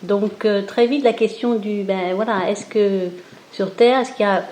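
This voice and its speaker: A woman from Cambodia, speaking as an interview guest in a gentle, hesitant way with many pauses. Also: mid-range voice, fairly even intonation, roomy sound, rough recording. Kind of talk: spontaneous interview, reflective talk.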